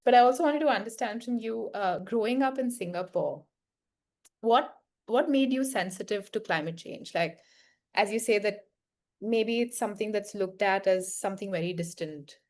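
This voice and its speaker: A woman hosts the interview in an even, mid-pitched voice. She asks open questions in a friendly, curious way. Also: normal speed.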